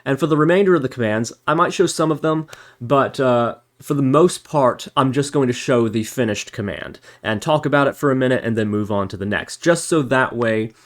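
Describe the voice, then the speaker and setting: Man narrating an informal coding tutorial, mid-pitched. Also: brisk. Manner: explanatory, thinking aloud while working. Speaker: programming tutorial instructor.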